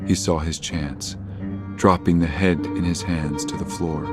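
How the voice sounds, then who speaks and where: Male narrator, low-pitched voice, scripted horror storytelling, audio drama, music bed. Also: fairly even intonation, a little slow.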